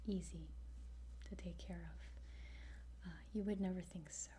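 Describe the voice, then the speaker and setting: A woman whispering softly in a halting ASMR roleplay as a gentle, patient guide, close to the microphone. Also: on the low side, steady tone. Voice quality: soft breathy whisper. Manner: slow, halting, with pauses. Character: gentle, patient dragon training centre guide. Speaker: ASMR roleplayer playing a dragon trainer. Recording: faint background noise.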